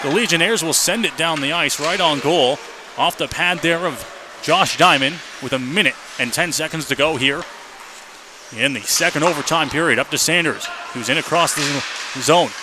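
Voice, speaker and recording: A male radio announcer with a fairly high voice calls a hockey game live. He describes play in short running phrases that can rise into excited shouts. The noise of the rink is clearly heard behind him. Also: moderate pace. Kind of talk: live radio sports commentary. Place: indoor hockey arena. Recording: noisy live arena broadcast. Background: loud rink ambience.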